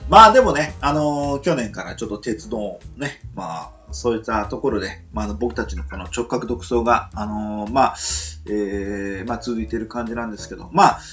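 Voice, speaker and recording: A man's voice: fairly quick, faint music behind. A man hosting a casual late-night radio talk show, speaking with many fillers. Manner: lots of filler words, lively rising pitch when excited.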